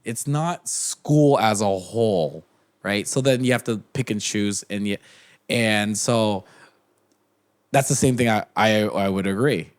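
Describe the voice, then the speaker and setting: A man's voice: lowish voice, a little slow. A young man talking as a podcast guest in casual chat, rambling with many restarts and fillers. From United States.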